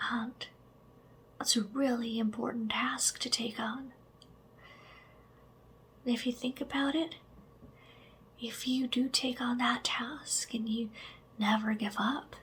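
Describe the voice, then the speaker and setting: A woman whispering slow, soft ASMR affirmations straight to the listener, with chatty asides and a gentle sense of humor. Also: fairly high pitch, slight background noise. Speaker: ASMR creator.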